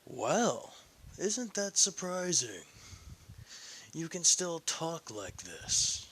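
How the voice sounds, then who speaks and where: A haughty, sneering nobleman who drawls every word and talks down to everyone around him. His voice sits a little higher than a plain speaking voice and is bright and forceful, as a man puts him on in a story reading. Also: very animated, very slow, a little hiss or hum, full character voice. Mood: mocking. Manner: condescending, drawling. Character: arrogant, smug young nobleman.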